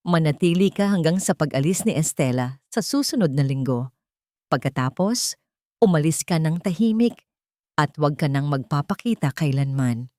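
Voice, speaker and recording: A woman voicing a scheming stepmother who speaks in a soft, controlled, falsely gentle way, with an even low voice. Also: moderate pace, no room sound, studio quality. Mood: falsely kind. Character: scheming sweet-talking stepmother, adult woman.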